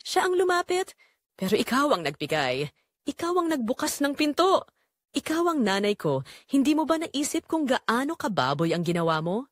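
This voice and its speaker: A woman acts out a betrayed grown daughter in an audio drama. She is bitter and confrontational, throwing accusations with sharply swinging pitch. The recording is dry and free of echo. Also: big pitch swings, moderate pace. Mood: bitter, accusing. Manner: emotional, confrontational.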